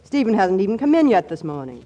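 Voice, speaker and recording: An actress playing a brisk, sardonic American career woman in a 1940s radio comedy, slangy and self-assured. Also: medium pitch, big pitch swings, dark tone, dull audio, lightly acted. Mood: wry. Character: wisecracking, brisk career woman, secretly in love with boss.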